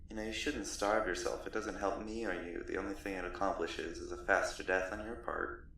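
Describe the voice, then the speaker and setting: A man playing a courtly, gloomy vampire captor in an ASMR roleplay, speaking in a genteel, condescending and softly threatening way. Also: deep voice, steady tone, a little fast, far from the mic. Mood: courteous, gloomy. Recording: roomy echo, off the mic, faint noise.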